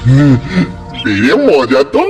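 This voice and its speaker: A man voicing a gullible, excitable and boastful village weaver in a folk-tale cartoon, with exaggerated theatrical delivery. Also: mid-range voice, slow. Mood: indignant, then impressed. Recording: loud music under the voice.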